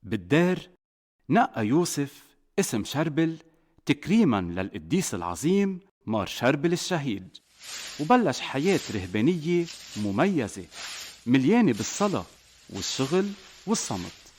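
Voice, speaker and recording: A man with a Lebanese accent narrating a scripted children's story as a father would, in an expressive way. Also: mid-range voice, normal speed, slight background noise. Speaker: narrator, a father telling a saint's life to children.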